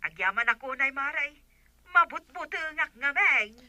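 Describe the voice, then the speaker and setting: Woman acting high, piercing, pushy caller. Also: lively intonation, a little slow, sharp tone, full character voice. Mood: worried, pleading. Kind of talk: Ilocano comedy drama acting.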